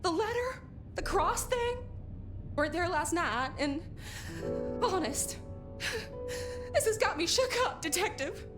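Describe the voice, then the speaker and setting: Noir game casting: brassy, agitated woman client, very high voice, indignant, music behind. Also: animated, slow pace, pauses often, full character voice. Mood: shaken, insistent. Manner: indignant, sharp-tongued, dramatic. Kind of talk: noir video game voice acting.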